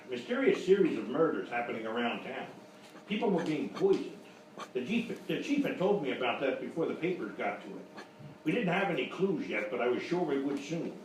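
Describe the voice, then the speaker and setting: An actor playing a boastful, bumbling private eye, narrating in blustering deadpan in a parody of old radio detective drama. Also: male voice, mid-range voice, close to an everyday voice. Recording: very echoey, distant, noisy live stage recording.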